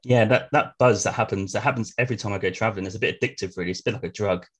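A man's voice: fairly low pitch, very fast, slightly rough. A man hosting a travel podcast, asking questions and chiming in with short, agreeable replies. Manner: brief affirming interjections. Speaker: podcast host. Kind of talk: conversational interview.

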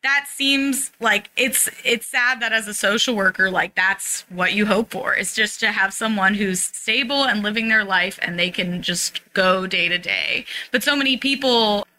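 A woman's voice: mid-range voice, a little slow, few pauses, bright, forceful tone, poor audio. An American woman from San Francisco talking reflectively and at length as an interview guest, in a conversational way with casual filler phrases. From San Francisco, USA. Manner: talkative, reflective, peppered with "like" and "you know". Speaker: interview guest, social worker and radio playwright. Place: recording studio.

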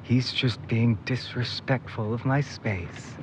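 An actor playing a sardonic, grudgingly caring big brother in a naturalistic audio drama, talking in a casual, dry way. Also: male voice, medium pitch, fairly even intonation, takes it slowly, background noise, lightly acted. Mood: irritated, teasing.

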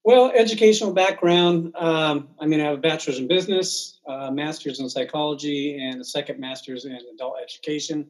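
A man's voice: a little high, brisk, few pauses, rough recording. A man chatting casually as a podcast guest in a loose, easygoing way. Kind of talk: casual chat.

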